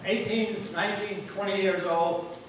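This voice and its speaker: Older male veteran, halting and soft-trailing, distant in an echoing hall with faint noise. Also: steady tone. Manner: halting, repeating words, voice dropping low. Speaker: veteran speaker. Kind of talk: personal war memories at a ceremony. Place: grange hall. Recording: echoey hall, voice from far off.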